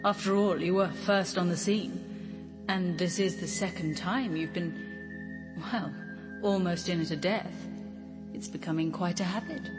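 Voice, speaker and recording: Actress as low-voiced, arch, insinuating woman. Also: measured pace, long reverb tail, over music, close to an everyday voice. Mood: arch, accusing. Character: cool, pointed woman needling a dinner guest. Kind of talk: radio drama acting.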